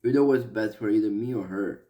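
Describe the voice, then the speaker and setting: A young man from Malaysia talking earnestly in a personal vlog, halting and restarting with many fillers. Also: on the low side, dull audio. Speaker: vlogger.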